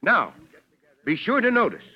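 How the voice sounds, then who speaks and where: An actor playing a hearty, authoritative fire chief in an old radio serial, with emphatic, commanding delivery. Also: male voice, high-pitched, huge pitch swings, measured pace, breathy edge, heavily acted. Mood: earnest, instructive. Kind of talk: old-time radio drama acting. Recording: muffled old radio recording, crowd behind.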